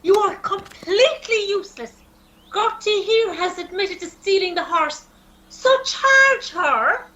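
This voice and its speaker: A woman acting an indignant, scolding, sharp-tongued Irish racehorse owner in an amateur radio play. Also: very high-pitched, animated, a little slow, muffled recording, full character voice. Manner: scolding, demanding. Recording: roomy, distant mic with hiss.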